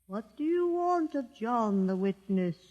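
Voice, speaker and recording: A man's voice: very high voice, sing-song, a little slow, a little mellow, exaggerated voice. A man in a 1950s radio drama playing a guarded, suspicious doorkeeper. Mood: wary. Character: wary male doorkeeper, guarded and pious. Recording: dull old broadcast with long reverb.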